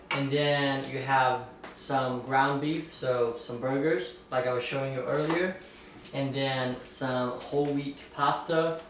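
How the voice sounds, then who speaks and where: A relaxed young man in a casual vlog, talking with plenty of "like" and "um". His voice sits at a middle pitch and is picked up from across the table. The small room around him rings with strong echo. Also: noisy background. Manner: relaxed, chatty, many fillers and "like". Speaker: fitness vlogger and ballet dancer. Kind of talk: casual vlog talk to camera. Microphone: camera mic at a distance. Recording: very echoey room, a bit far from the mic.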